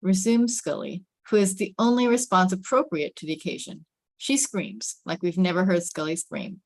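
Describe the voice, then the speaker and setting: Lowish-voiced woman reading stage directions. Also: expressive, moderate pace. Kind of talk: scripted narration of screen directions.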